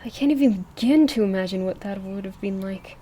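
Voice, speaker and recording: A woman's voice: mid-range voice, fairly quick, warm tone, husky, lightly acted. An actor playing an earnest, sympathetic woman among monster hunters, speaking hesitantly and apologetically in audio drama dialogue. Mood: apologetic, sympathetic. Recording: noticeable background noise.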